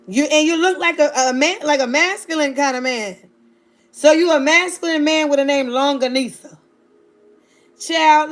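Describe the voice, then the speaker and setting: Sassy, high-voiced woman in her thirties. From Virginia, United States. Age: 30s. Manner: sassy, playful, talks straight to her chat. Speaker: livestreamer. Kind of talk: casual live-stream chat with viewers.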